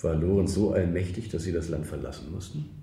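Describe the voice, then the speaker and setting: An actor playing a probing, matter-of-fact police interrogator in a radio drama, with a brisk, pressing manner. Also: male voice, deep voice, mellow, reverberant. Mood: probing, insistent.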